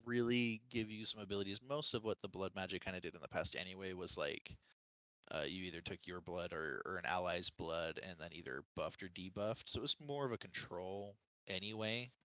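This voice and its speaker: A man with a deep, clearly projected bright voice chats informally on a gaming podcast. The sound is dry and close, and his delivery is that of casual discussion. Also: a little fast, no room sound, muffled recording. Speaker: podcast co-host or guest. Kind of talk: casual video game discussion.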